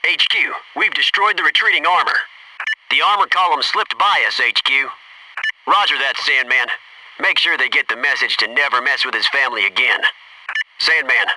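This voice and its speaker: An actor playing a gung-ho, wisecracking Navy SEAL soldier in a military video game, giving clipped tactical callouts. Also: male voice, fairly high pitch, sharp tone. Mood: wary, low. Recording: noisy radio-style game audio, slightly distant.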